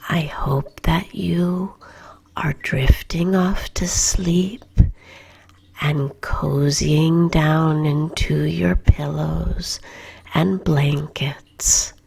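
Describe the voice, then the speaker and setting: A woman whispering a children's story at bedtime in a soft, slow, soothing voice that lingers on repeated words. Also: deep voice, fairly even intonation, creaky, breathy edge. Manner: soft unvoiced whisper throughout.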